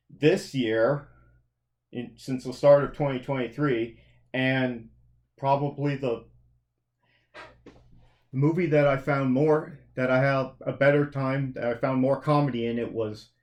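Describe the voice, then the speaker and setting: A man's voice: mid-range voice, a little mellow. Chatty man reviewing movies, rambling. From Canada. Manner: rambling, self-correcting, chatty with frequent restarts. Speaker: podcast co-host reviewing movies and TV. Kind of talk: casual review chat.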